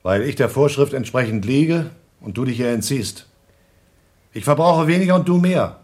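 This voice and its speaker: A man's voice: medium pitch, animated, normal speed, darkish tone, natural voice. A man playing an anxious, principled astronaut in a radio drama, stern, reproachful and suspicious, in clipped phrases. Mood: agitated, reproachful.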